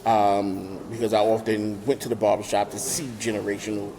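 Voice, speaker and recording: Guest, NY accent, thirties, low voice, loose and rambling. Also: male voice, moderate pace, low-quality recording. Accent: New York. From Brooklyn, New York. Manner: relaxed, many fillers and restarts. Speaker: nonprofit founder, guest. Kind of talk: remote interview conversation. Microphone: remote call.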